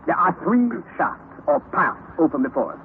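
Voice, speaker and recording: An actor playing an excitable, obsessive older male explorer professor in an old radio drama, booming out exclamations. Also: normal speed. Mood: intense. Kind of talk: 1930s radio drama acting. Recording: tinny lo-fi old radio recording with faint hiss.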